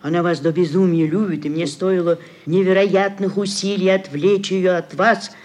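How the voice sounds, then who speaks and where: A woman's voice: fairly low pitch, steady tone, normal speed, a little mellow, natural voice. An actress playing a scheming, sugary middle-aged society mother in a classic radio play, cajoling and declaiming theatrically. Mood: cajoling, reproachful. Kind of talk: classic Russian literary radio play acting.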